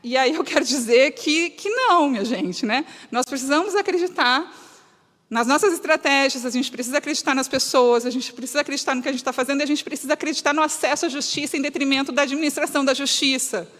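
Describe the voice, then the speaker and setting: A woman with a southern Brazilian gaúcho accent speaks in a notably high voice. She lectures in an earnest, semi-spontaneous way, restarting phrases and pressing her points. Long reverberation surrounds her, as in a large hall. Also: quick pace, a bit bright. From Rio Grande do Sul, Brazil. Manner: earnest, emphatic, often breaking off and restating. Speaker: guest speaker. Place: reverberant hall, live talk to an audience.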